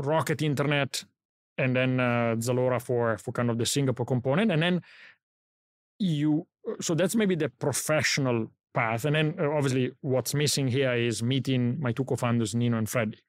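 A middle-aged Italian-accented man speaks as a podcast guest, his pitch sitting squarely in the middle range. He pauses often to think aloud as he recounts his path, and the studio sound is close and dry with no room echo. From Italy. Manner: reflective, thinks aloud while telling his story. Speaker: guest, company founder. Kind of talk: podcast interview.

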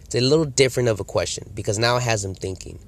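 A man's voice: mid-range voice, rapid-fire. A man with a cold and a slightly nasal voice, coaching on sales in a casual talk, acting out customer and salesperson lines. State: congested from a cold. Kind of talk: casual instructional talk to camera.

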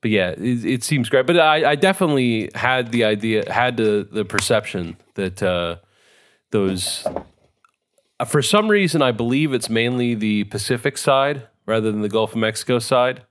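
A man's voice: medium pitch, lively intonation, normal speed. A middle-aged man co-hosting a podcast, rambling in a wry, chatty way and laughing often. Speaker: podcast co-host. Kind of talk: casual chat.

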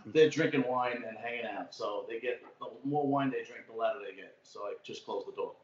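A man's voice: mid-range voice, a little fast, a little hiss or hum. A man with an old-school Brooklyn accent, a podcast guest chatting casually and telling long stories with loose swearing. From Brooklyn, New York. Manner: talkative storyteller, swearing freely. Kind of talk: casual chat. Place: home room, remote call. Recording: echoey room, dull lo-fi sound.

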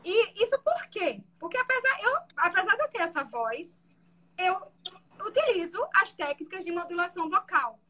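A woman defense lawyer with a soft voice, explaining her courtroom methods in an interview. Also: extremely high pitch, very animated, normal speed.

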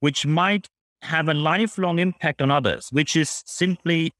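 A man's voice: fairly high pitch, unhurried, fairly bright tone, no echo, studio quality. A man with a German accent giving blunt, emphatic, explanatory answers as an interview guest. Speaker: guest, public speaker on leadership.

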